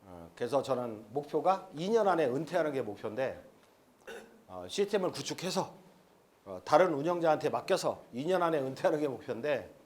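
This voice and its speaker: A man's voice: fairly high pitch, very animated, a little fast, a little mellow. A man in his fifties giving a frank, rambling seminar lecture at an expo, with many fillers. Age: 50s. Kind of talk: live seminar lecture to an audience.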